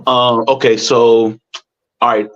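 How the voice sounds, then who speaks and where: A man's voice: medium pitch, little pitch movement, slow pace, fairly bright tone. A man asking a question in a group discussion, hesitant and searching for his words. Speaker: discussion participant. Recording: dull lo-fi audio.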